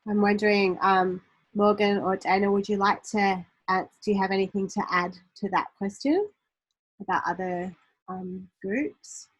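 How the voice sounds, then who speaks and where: A woman moderating a panel on a video call, hesitant and gently polite with many ums. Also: medium pitch, moderate pace, breathy edge, rough recording.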